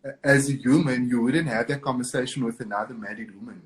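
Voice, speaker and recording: A man's voice: mid-range voice, quick pace, a loud crowd. A man from Cape Town with a South African accent, a guest speaking earnestly and encouragingly in a live video interview. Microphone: video call.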